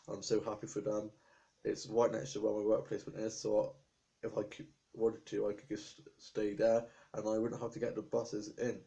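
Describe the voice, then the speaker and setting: Young man, from England, rambling webcam vlog, gravelly, mellow dark tone, slightly sore throat. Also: fairly low pitch, rough recording. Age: young adult. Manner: chatty, rambling, stream of lists. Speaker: vlogger. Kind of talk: casual chat to camera. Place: small indoor room with appliances. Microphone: webcam at desk.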